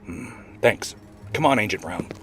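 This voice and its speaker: A male actor plays a weary wildlife agent in an audio drama, the gruff senior partner. His voice sits very deep and he explains things gravely and evenly. Faint music runs underneath. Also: animated, a little slow, a bit bright. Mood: brisk, businesslike.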